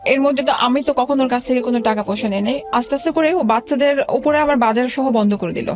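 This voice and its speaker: A woman's voice: high voice, fast talker, a little mellow, faint music behind. A middle-aged woman with a Bangladeshi accent tells her own life story as an interview guest, often breaking off and restarting her words. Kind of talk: personal storytelling in a radio interview.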